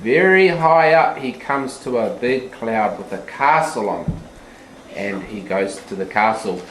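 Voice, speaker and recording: A teacher retelling a fairy tale simply and deliberately for young children, with asides to them, in a classroom. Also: mid-range voice. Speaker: teacher narrating a children's puppet show. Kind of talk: live storytelling with asides to the children. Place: school classroom. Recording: echoey room, background noise.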